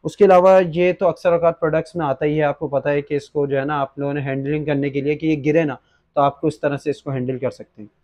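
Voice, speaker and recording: A man's voice: a little high, steady tone, quick pace, darkish tone, dull audio. A young man with a Pakistani accent presenting a product unboxing in an explanatory way, mixing in English words. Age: young adult. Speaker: presenter for an online gadget shop. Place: small indoor gadget shop studio at a desk.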